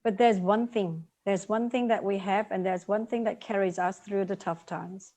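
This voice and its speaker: A woman's voice: mid-range voice, fairly even intonation, moderate pace, dark tone. A woman in her forties from Vietnam, a guest author in a live video call interview, speaking earnestly, repeating key words and laughing lightly.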